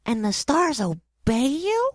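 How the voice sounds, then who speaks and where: Young storybook prince, played by a woman: childlike, high-voiced, questioning and sincere, with hugely expressive pitch swings. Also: slow, no room sound, clean studio sound, heavily acted. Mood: earnest. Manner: earnest, childlike, questioning delivery. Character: little prince, polite, earnest, curious young boy. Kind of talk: theatrical storybook dramatization.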